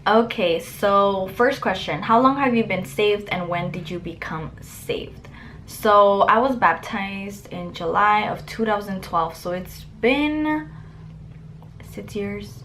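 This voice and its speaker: A woman's voice: mid-range voice, a bit bright, a little hiss or hum. A young woman from Mexico, Spanish her first language, chatting casually to camera in her bedroom and answering get-to-know-me questions with lots of "like" fillers. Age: young adult. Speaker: vlogger. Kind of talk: casual Q&A chat. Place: bedroom, indoors. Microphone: camera close up.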